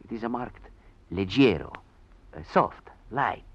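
A man playing a proud, protective old violin maestro in radio drama, speaking haltingly and repeating words. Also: lowish voice, expressive, husky, full character voice. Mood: gentle, instructing. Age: older. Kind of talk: 1940s radio drama acting. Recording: dull old radio recording.

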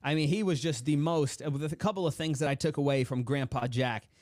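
A young adult man with a somewhat high-pitched voice tells his story as a podcast guest, slipping into his parents' and grandfather's voices as he quotes them. The recording is dry and close, with no room sound. He talks like a motivational speaker, driving each point home. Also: brisk, dry recording. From United States. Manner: animated storyteller, acts out quoted dialogue with big pitch swings.

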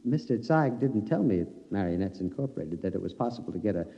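An actor playing a meek, flustered husband who blusters and stammers, in the manner of a classic radio drama. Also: male voice, lowish voice, lively intonation, quick pace, creaky, mellow. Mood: impatient. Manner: blustering, stammering under pressure. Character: meek, apologetic husband scheming for freedom, increasingly flustered. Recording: dull old radio recording, long reverb.

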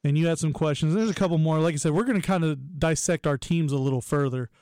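A man's voice: fairly high pitch, fast, a little mellow, dry recording. A man hosting a casual sports podcast, talking in a playful, teasing and enthusiastic way. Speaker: podcast host.

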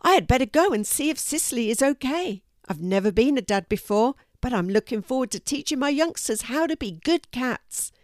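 A woman's voice: high voice, expressive, fairly quick, hardly any pauses, dry recording, studio quality, pitched above the performer's own voice. A woman voicing a big, playful, friendly male ginger cat in a children's story, bouncy and eager. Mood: excited. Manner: bouncy, eager, good-natured.

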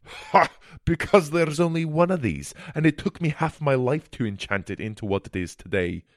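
A man's voice: on the high side, expressive, normal speed, no room sound, studio quality, pitched above the performer's own voice, natural voice. A man voicing a proud, brusque older wizard who talks bluntly and scoffs in a storybook reading.

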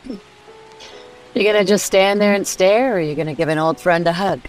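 An actress playing a wry, warm mother and old friend in a radio drama. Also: medium pitch, moderate pace, soft background music, close to an everyday voice, poor audio. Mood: teasing, fond.

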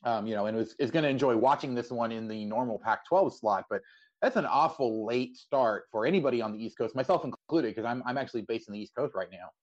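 A man's voice: mid-range voice, fast talker, lo-fi sound. A man hosting a casual sports interview podcast, stammering his way into long, wordy questions.

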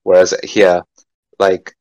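Deep-voiced Geordie man, chatty. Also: monotone, slow pace, darkish tone, dry recording. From North East England. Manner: rambling, full of "like", swears freely. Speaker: guest. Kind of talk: casual podcast chat.

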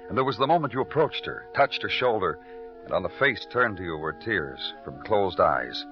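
A man playing a world-weary, brooding police detective in a hard-boiled vintage radio drama, with a measured, moody delivery. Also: low voice, big pitch swings. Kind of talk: 1940s-50s hard-boiled radio narration. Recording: muffled old radio recording, music behind.

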